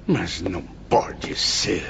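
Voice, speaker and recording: An actor playing a formal, pointed patient in a radio drama, with theatrical delivery. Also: male voice, low voice, big pitch swings, extremely slow, vocal fry, heavily acted. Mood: dismayed. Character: sarcastic male patient, formal and indignant. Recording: muffled audio with faint noise.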